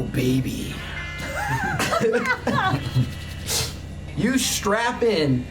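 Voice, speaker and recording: A man running a tabletop roleplaying game, narrating scenes casually with fillers and bursts of laughing excitement. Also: fairly high pitch, low-quality recording. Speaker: game master. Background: music.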